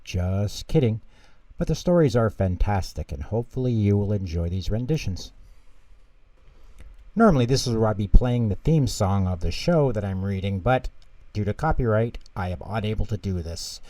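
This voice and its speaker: A man narrating a scripted children's story as host, with an expressive, deliberate delivery. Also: lowish voice, no echo.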